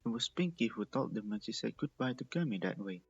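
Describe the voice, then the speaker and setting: A man's voice: medium pitch, animated, brisk, a little mellow, breathy edge, dry recording, muffled recording. A man reading a story aloud as the narrator. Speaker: fan fiction reader and narrator.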